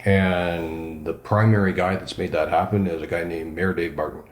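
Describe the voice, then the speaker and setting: A man's voice: low-pitched, fairly even intonation, moderate pace, reverberant, slight background noise. A man, a regional councillor, answering interview questions with emphatic enthusiasm. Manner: enthusiastic, emphatic, self-described loud voice.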